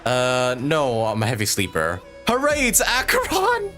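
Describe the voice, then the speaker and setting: A man's voice: medium pitch, wildly swooping pitch, unhurried, sharp tone, soft background music. A man livestreaming casual gameplay commentary in an irreverent, profane, joking manner.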